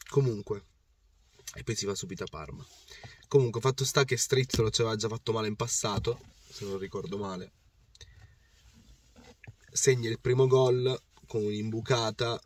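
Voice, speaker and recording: A hoarse man in his thirties talks through a football match from his parked car, his voice low and a little rough. He rambles with passion and circles back over his own words, repeating points as he goes. The camera mic on the dashboard sits a little way from him, so he sounds slightly distant. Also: slight background noise. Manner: passionate, rambling with restarts and repetitions. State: hoarse, voice worn out. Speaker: football fan vlogger. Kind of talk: casual match recap to camera. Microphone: phone or camera on the dashboard.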